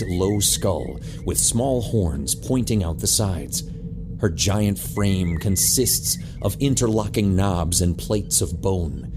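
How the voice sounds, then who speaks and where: A man reading scripted audio drama narration as a deliberate, descriptive storyteller. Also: sits low.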